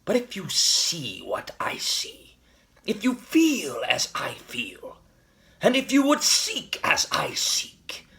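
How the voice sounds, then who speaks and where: An actor playing an eloquent, defiant vigilante revolutionary in a theatrical monologue, addressing the nation with deliberate, grandiloquent emphasis. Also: male voice, high voice, wildly swooping pitch, creaky, sharp tone, in a whisper, full character voice. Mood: rousing, resolute.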